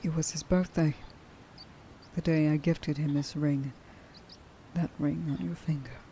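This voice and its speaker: A woman playing a stern, grieving huntress in a dramatic ASMR roleplay monologue, halting and intense in her delivery. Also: low voice, steady tone, warm tone, slight background noise. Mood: pleading, shaken. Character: stern, grieving young huntress who hates werewolves.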